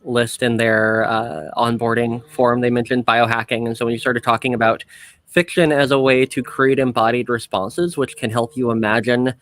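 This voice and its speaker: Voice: medium pitch, flat delivery, moderate pace, fairly bright tone. A podcast host talking casually in an earnest, discursive way, rephrasing ideas along the way.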